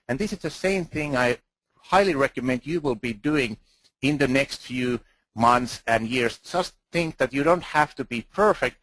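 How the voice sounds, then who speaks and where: A man's voice: medium pitch, a bit bright, dry recording, rough recording. A man from Finland with a Finnish accent, speaking English as a second language while giving an earnest conference presentation with frequent restarts. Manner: earnest, halting, with restarts and fillers. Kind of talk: presentation talk.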